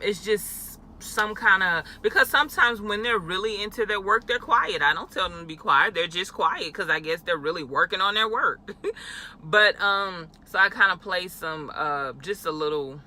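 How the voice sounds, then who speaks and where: A woman's voice: on the low side, moderate pace, sharp tone, a little hiss or hum. A young adult woman, a teacher, chatting casually in a vlog with frequent fillers and laughs. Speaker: elementary school teacher. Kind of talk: casual talking-head vlog.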